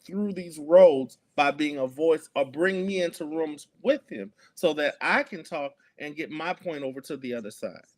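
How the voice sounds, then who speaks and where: A man's voice: fairly high pitch, expressive, normal speed, few pauses. A man, speaking earnestly as an activist guest in an interview, with many fillers and restarts. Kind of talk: conversational interview.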